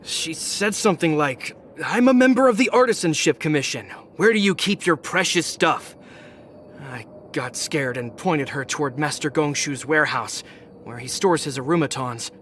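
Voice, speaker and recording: A man's voice: on the high side, lively intonation, moderate pace, fairly bright tone, a little hiss or hum. A man playing a timid, earnest townsman in a video game, recounting things hesitantly. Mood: nervous recounting. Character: timid, easily cowed male townsman. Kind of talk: video game character acting.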